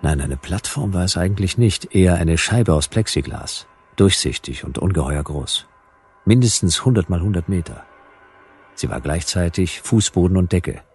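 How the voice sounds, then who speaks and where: An actor playing a hard-boiled detective, narrating a noir radio drama in a dry, laconic, sardonic way. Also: male voice, low voice, animated, normal speed, a little mellow, husky, faint music behind, heavily acted. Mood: grim, measured.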